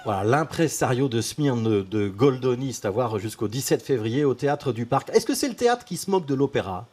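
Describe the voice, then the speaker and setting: A man hosting a TV interview, asking measured questions with frequent fillers. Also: medium pitch, big pitch swings, darkish tone, soft background music. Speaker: TV host.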